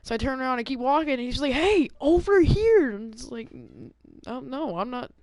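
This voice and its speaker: Clear, dry-sounding young man telling stories. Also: very high voice, very animated, moderate pace, darkish tone, no echo. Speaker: gaming video host. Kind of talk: casual storytelling over gameplay.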